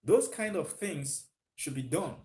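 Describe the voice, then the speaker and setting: A man's voice: on the high side, lively intonation, unhurried, warm tone. A man hosting a live stream, thinking aloud with frequent fillers and answering viewer comments. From Nigeria.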